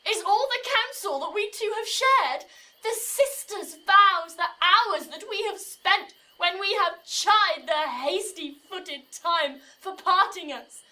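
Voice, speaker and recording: Indignant young maiden, sharp voice, slow measured delivery, theatrical, wounded, Shakespearean verse drama. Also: female voice, very high-pitched, heavily acted, rough recording. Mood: betrayed, reproachful. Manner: theatrical, aggrieved, declamatory.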